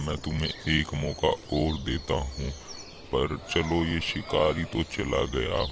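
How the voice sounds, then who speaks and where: Man voicing very deep, gravelly old lion king. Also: heavily acted. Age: older. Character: cunning old lion king, boastful and patient trickster. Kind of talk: animated moral-story acting.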